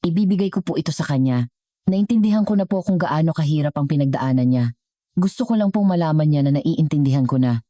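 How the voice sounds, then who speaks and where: A woman's voice: deep voice, lively intonation, brisk, mellow, no echo. A woman voicing a humbled, remorseful adult son in a dramatic story, respectful and earnest as he pleads with his mother. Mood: remorseful, pleading.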